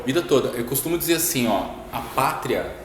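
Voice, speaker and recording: Relaxed storytelling man in echoey room. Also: mid-range voice, a little fast. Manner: relaxed, anecdotal, laughs easily, frequent "né?". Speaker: guest, history teacher. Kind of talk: casual storytelling in interview. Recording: roomy echo, long reverb, noisy.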